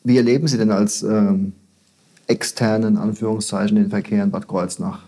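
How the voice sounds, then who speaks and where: A male interviewer with a fairly low, mellow voice. He puts his questions in a relaxed, conversational way and backs the speaker with short affirmations. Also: moderate pace, husky. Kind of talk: local news interview.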